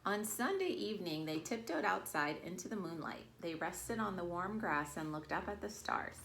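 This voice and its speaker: Low-pitched, creaky woman reading to children. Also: expressive, moderate pace, fairly bright tone, a little hiss or hum. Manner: talks directly to children, addressing them as friends. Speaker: children's storytime host and kids' yoga teacher. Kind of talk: animated read-aloud to young children. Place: at home, virtual storytime.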